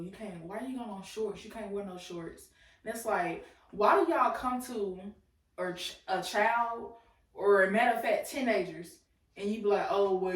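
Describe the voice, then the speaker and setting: A woman in her late twenties co-hosting a podcast, chatting loosely and telling stories with lots of laughter. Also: medium pitch. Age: 20s. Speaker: podcast co-host. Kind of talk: casual chat. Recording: echoey room, mic at a distance.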